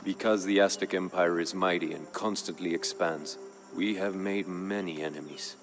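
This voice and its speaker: Casting: noble Aztec jaguar warrior narrator, low register, solemn and measured, game cutscene storytelling over music. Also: male voice, fairly even intonation. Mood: grave, solemn. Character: grave Aztec jaguar warrior, royal nephew, recounting events. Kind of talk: scripted dramatic narration for a game.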